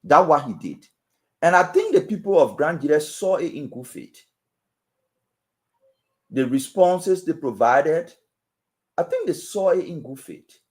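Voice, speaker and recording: A man's voice: a little high, expressive, measured pace, pauses often. Emphatic Liberian man, preaching cadence. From Western Liberia. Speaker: panel guest. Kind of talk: political talk show discussion.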